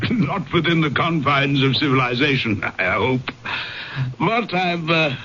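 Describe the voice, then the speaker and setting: An actor playing a huge big-game hunter, gentlemanly and deliberate, in an old-time radio drama. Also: male voice, a little high, lively intonation, heavily acted. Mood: wry, brooding. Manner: gentlemanly, deliberate, pausing for effect. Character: huge bearded English big-game hunter, vital, genial host. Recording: dull, faintly noisy old radio recording.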